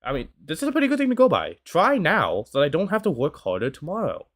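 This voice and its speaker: A man's voice: fairly high pitch, huge pitch swings, fast talker. A twenty-year-old man from the United States giving casual, rambling reaction commentary, full of "you know" fillers. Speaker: YouTube reactor.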